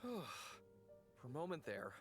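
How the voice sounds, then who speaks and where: A plucky, dryly dramatic knight from a fantasy game. His fairly high voice swings in pitch, with music clearly behind it. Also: huge pitch swings, takes it slowly, heavily acted. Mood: weary, stoic.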